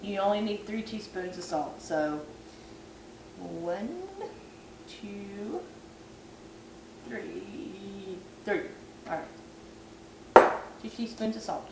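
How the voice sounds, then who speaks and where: A woman's voice: medium pitch, very slow, pauses often. Woman losing her voice, echoey kitchen. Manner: chatty, self-deprecating, rambling. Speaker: vlogger. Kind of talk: casual cooking tutorial. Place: home kitchen at night. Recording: echoey kitchen, far from camera, background noise.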